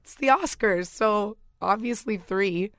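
A young woman co-hosting a radio show, her voice raspy from the flu, giggly and chatty in casual banter. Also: medium pitch, measured pace, fairly bright tone, no room sound, studio quality. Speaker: radio co-host. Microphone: studio microphone.

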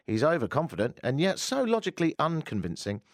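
A man hosting a talk radio show, sardonic and animated, joking and ranting about the news. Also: on the high side, quick pace, dry recording, clean studio sound. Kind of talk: opinionated talk radio chat. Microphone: studio microphone.

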